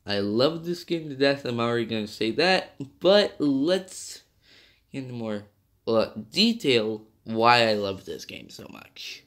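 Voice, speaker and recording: A man's voice: mid-range voice, big pitch swings, a little slow, a bit bright. A young man reviewing a video game in a rambling, casual way, with many fillers and self-corrections, still slightly nasal from being sick.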